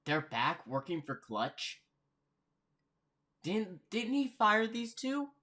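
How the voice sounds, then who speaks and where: A man's voice: high-pitched, big pitch swings, takes it slowly. A man hosting a casual reaction commentary, thinking aloud and often repeating and rephrasing himself. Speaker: reaction host.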